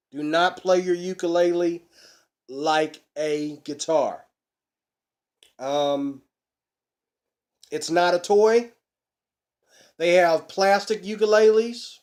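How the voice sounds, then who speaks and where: A middle-aged man, a bit hoarse from a cold, chatting folksily to his webcam at home about ukuleles. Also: high-pitched, darkish tone. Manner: folksy, chatty, preacher-like emphasis. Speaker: ukulele channel host. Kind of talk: casual instructional vlog. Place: small room at home, indoors.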